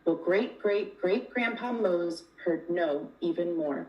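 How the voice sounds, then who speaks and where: A woman's voice: fairly low pitch, slow, muffled recording. A woman reading a children's picture book aloud, recorded in an echoey room from some way off. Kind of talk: read-aloud storytelling. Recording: echoey room, distant from the mic.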